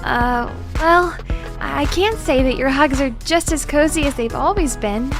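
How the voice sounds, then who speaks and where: An actress plays a modest young female scientist in an audio drama, bookish and gentle. Her high voice is hesitant and easily flustered, with polite, wordy phrasing. Music runs clearly underneath. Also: a little slow. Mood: mild, concerned.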